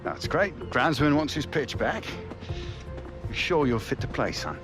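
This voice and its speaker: Slow-talking, animated dad. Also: male voice, mid-range voice, music in the background. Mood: dry, caring. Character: dry, gruffly caring father of a footballer. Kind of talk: video game cutscene acting.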